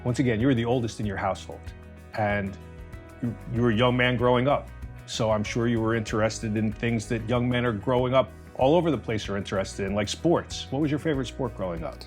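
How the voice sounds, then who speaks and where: A man hosting an interview, introducing his guest deliberately and asking friendly questions. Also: mid-range voice, fairly even intonation, soft background music. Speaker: interview host.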